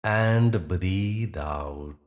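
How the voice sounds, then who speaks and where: A man guiding a meditation in soothing, measured phrases with long, deliberate pauses. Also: low voice. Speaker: meditation guide. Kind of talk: guided meditation narration.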